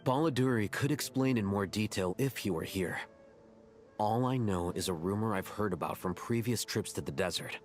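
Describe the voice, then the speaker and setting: Man acting level-headed, low-voiced desert traveller. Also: normal speed, faint music behind, lightly acted. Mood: thoughtful. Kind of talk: anime game voice acting.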